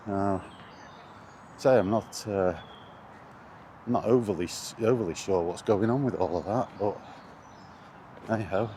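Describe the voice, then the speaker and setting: A man with a lowish voice talks casually, as a gaming vlogger would, in a rambling and hesitant flow with a wry edge of exasperation. He is recorded outdoors, with some background noise around him. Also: unhurried, pauses often, dark tone. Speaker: video game vlogger.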